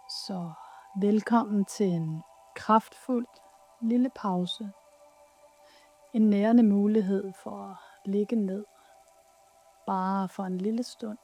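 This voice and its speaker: A woman leading a guided yoga nidra meditation in a soothing, soft, unhurried way with pauses. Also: medium pitch.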